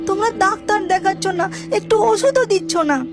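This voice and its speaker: A poor, suffering little boy from a cartoon, voiced by a woman. The voice is extremely high and earnest, pleading plaintively over clear music. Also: moderate pace. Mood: plaintive, defensive.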